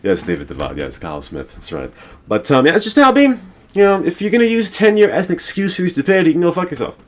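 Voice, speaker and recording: A man's voice: sits high, huge pitch swings, fast talker. A male podcast host ranting in a rambling, profane and emphatic way. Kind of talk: casual podcast rant.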